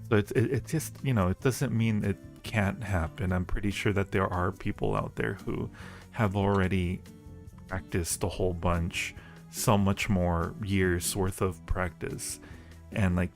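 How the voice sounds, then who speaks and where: A man hosting a livestream, chatting casually and playfully with viewers and speaking to them affectionately. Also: sits low. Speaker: livestream host and audio roleplay creator. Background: background music.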